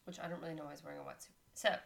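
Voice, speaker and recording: A woman's voice: sits low, fairly even intonation, rapid-fire, gravelly. A woman co-hosting a casual podcast, reading a story aloud and stopping to chat about it. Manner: reads story text, breaks off to chat and laugh.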